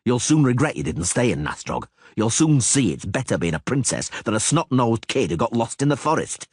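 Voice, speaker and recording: A male narrator voicing a weary grown monster hunter who speaks in a curt, dry, gruffly sarcastic way. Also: medium pitch, very animated, fairly quick, a little mellow, no echo, pitched above the performer's own voice. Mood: stern. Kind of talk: audiobook character voice.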